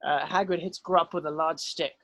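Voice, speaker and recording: Higher-voiced man narrating a script, dry sound. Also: steady tone, moderate pace, vocal fry, fairly bright tone, no room sound. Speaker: stage-direction narrator.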